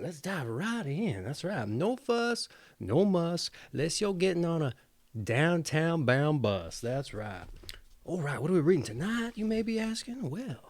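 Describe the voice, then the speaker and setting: A man's voice: a little high, a little mellow, no echo. A man reading a fairy tale as host and storyteller, in a playful, theatrical and dramatic way.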